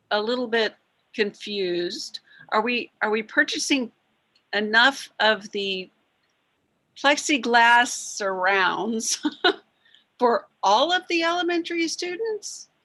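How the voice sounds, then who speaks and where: A woman on a school board poses polite, somewhat hesitant questions. Her voice is bright and forward, pitched a little high. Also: lively intonation, slow.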